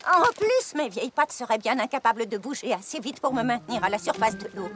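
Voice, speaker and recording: Elderly farm pony grandmother, played by a woman: feisty, dramatic, aged voice, lively swooping intonation, cartoon. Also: on the high side, fairly quick, heavy background noise, natural voice. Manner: dramatic, folksy, emphatic. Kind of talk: French cartoon dubbing. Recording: music behind the dialogue.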